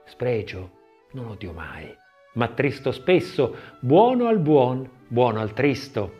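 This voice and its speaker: A man reading a scripted literary text as an audiobook narrator, expressive and measured, with deliberate phrasing. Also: medium pitch, muffled recording. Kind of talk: scripted audiobook narration of an art-history essay, with recited verse.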